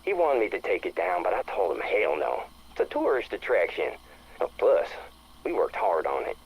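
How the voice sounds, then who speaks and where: A man's voice: deep voice, huge pitch swings, moderate pace, husky, full character voice, poor audio. A man playing a chatty, brash small-town guy leaving a casual, slangy, enthusiastic message in a video game. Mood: brash, joshing. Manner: breezy, slangy, joshing.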